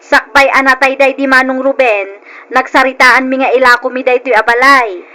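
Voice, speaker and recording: An actress playing a grasping, insistent sister-in-law who makes a show of sympathy, in an old-time Ilocano radio drama. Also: female voice, high voice, steady tone, normal speed, bright, forceful tone. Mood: cold, demanding.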